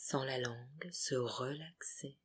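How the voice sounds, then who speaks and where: Casting: meditation guide, mid-pitched voice, breathy and hushed, soothing short phrases for deep relaxation. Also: takes it slowly. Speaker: yoga nidra guide.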